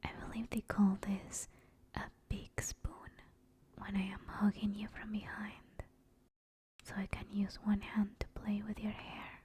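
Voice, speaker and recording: A woman playing a gentle, affectionate sleep paralysis demon in a soothing, reassuring whispered ASMR comfort roleplay. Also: medium pitch, monotone, natural voice. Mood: tender, reassuring. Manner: soft, slow, soothing, reassuring.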